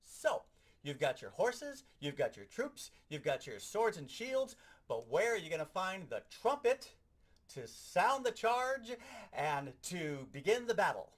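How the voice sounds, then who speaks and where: Animated, higher-voiced man giving a hands-on demo. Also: unhurried. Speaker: sound effects instructor. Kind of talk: hands-on instructional demonstration.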